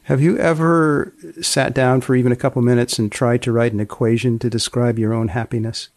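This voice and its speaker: A man hosting a casual interview, asking gently probing questions in a deliberate way. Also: mid-range voice, fairly even intonation, dry recording, clean studio sound. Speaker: host interviewer.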